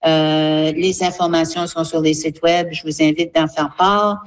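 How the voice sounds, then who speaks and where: A woman's voice: low voice, steady tone, measured pace. A woman, explaining earnestly as an association representative in a spontaneous interview.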